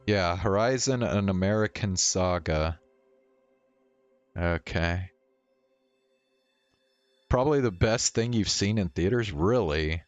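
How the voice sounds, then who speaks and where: A man livestreaming a game, chatting casually in a laid-back, halting way through a slightly dull mic. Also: sits low, no room sound, faint music behind. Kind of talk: casual chat while streaming a game.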